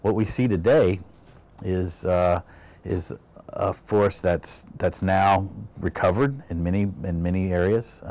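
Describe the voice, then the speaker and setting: A man with a low voice speaks as an expert in an interview. He explains in a measured manner, with faint noise in the recording. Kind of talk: interview soundbite.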